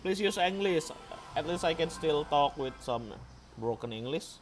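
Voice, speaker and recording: A man's voice: a little high, animated, a little slow. A young man from Indonesia with an Indonesian accent, chatting casually on a live game stream, switching between slang and English and reacting playfully to viewers. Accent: Indonesian, Palembang. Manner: playful, reads and reacts to chat. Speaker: gaming streamer. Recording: music playing underneath.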